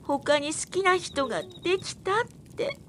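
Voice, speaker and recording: An actress plays a girlish young woman in a radio drama, earnest and heartbroken. Her voice is extremely high and plaintive, over faint forest ambience. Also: animated, a little slow, fairly bright tone, a little hiss or hum, exaggerated voice. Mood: sad, wistful. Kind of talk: audio drama acting.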